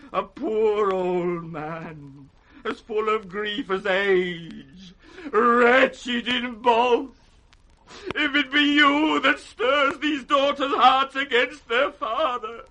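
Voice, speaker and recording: Elderly monarch, high, forceful voice, wounded and near madness, classical radio Shakespeare. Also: male voice, heavily acted. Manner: declamatory, swinging between hushed and crying out. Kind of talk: 1960s British radio Shakespeare acting.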